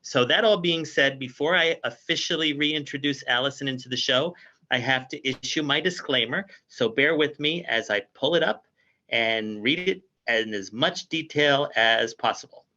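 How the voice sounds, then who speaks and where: Bright-voiced male radio host, lo-fi call. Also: medium pitch, normal speed. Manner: reads a formal disclaimer, chatty introductions. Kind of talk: hosting a health talk show over a video call. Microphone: video call.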